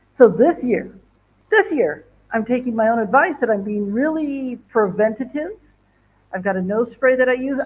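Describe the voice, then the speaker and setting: A woman's voice: fairly high pitch, husky, muffled recording. A woman vlogger chatting casually to viewers from her home, rambling with many fillers and restarts. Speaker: travel vlogger. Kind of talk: casual livestream chat. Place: home in northern Ghana.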